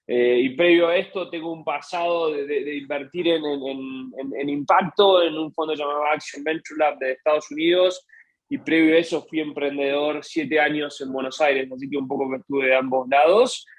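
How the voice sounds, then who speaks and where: A man's voice: a little high, steady tone, normal speed, rough recording. An Argentine man with an Argentine accent, introducing himself as a webinar panelist over a video call, hesitant, with many fillers and repeated words.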